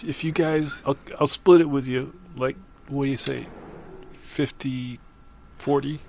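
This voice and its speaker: A man's voice: mid-range voice, fairly even intonation, a little slow, gravelly, darkish tone, a little hiss or hum. An actor playing a naive, literal-minded young man, polite and hesitant, in improvised audio comedy. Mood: hopeful.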